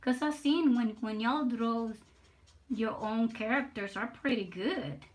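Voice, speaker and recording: An older woman from Puerto Rico with a Spanish and Southern US accent, chatting on her livestream while drawing, nervous, halting and repeating words. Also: a little high, low-quality recording. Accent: Puerto Rican Spanish mixed with Southern US. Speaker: livestream artist. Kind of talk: casual live stream chat while drawing.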